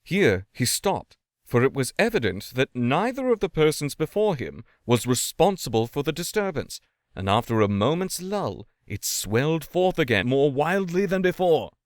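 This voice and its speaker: Guy narrating an old story dramatically, very animated, fairly high voice, dry sound. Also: normal speed, dry recording. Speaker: story reader.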